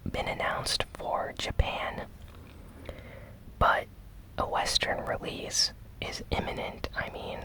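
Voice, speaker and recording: ASMR news host. Breathy whisper throughout, crisp bright edge, gentle deliberate phrasing. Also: poor audio. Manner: slow, gentle, deliberate delivery with short phrases. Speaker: host of a gaming news show.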